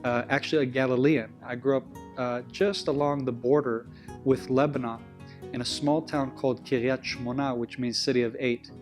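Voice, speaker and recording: An Israeli-accented man speaking English, which is not his first language, sits as an interview guest. His pitch sits in the middle for a man, and he tells stories in a loose, rambling way with restarts. Faint music plays underneath him. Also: fairly even intonation, normal speed. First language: Hebrew. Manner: rambling, self-correcting, with frequent restarts. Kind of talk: conversational interview storytelling.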